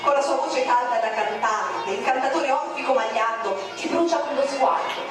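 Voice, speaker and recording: Woman, high voice, declamatory poetry reader, far off in a booming room. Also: normal speed. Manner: declamatory, with sharp swings in pitch. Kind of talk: dramatic poetry recitation. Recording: very echoey, distant, with music. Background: music.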